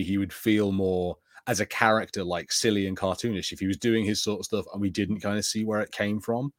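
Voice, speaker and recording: Low-voiced rambling male co-host. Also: dry recording, studio quality. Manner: rambling, many "like" fillers, animated. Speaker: podcast co-host. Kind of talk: casual chat.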